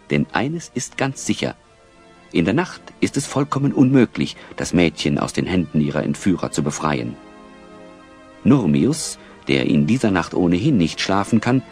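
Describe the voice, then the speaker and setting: A man narrating a German children's audio drama, with dramatic, measured phrasing. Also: low-pitched, a little mellow. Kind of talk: scripted audio drama storytelling.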